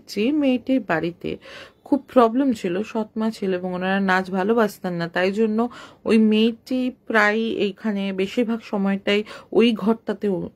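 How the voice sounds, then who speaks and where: A woman narrating a ghost tale in an informal, unscripted way, conversational and mixing in some English words. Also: mid-range voice. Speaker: horror story narrator.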